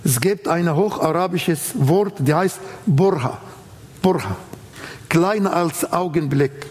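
Arabic-accented man preaching slowly. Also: fairly high pitch, darkish tone, big-room sound. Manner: earnest, emphatic, quoting scripture. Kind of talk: sermon.

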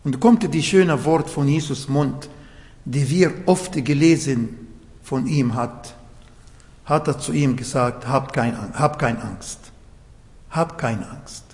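A man preaching a sermon in German, which is not his first language, slowly and emphatically, with small grammar slips. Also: medium pitch, darkish tone. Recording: long hall reverb.

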